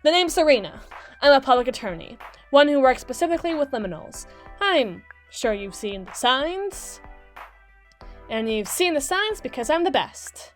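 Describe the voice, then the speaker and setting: A woman performs a mermaid public attorney in an audio roleplay, pitched fairly high. She is brisk and sassy, delivering wordy, sardonic monologues with theatrical flair, with some music behind her. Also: very animated. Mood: proud. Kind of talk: scripted ASMR roleplay monologue.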